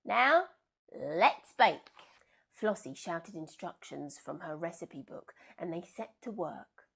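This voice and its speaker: A woman reading a children's storybook aloud in an expressive, unhurried and dramatic way. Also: on the low side, husky.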